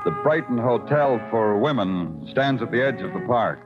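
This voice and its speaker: An American man playing a terse, deadpan, world-weary police detective in a vintage radio crime drama. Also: mid-range voice, moderate pace, lightly acted. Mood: brooding narration. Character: hard-boiled male night-shift Broadway police detective, world-weary. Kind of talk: 1950s radio crime drama acting and narration. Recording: dull vintage radio recording with music.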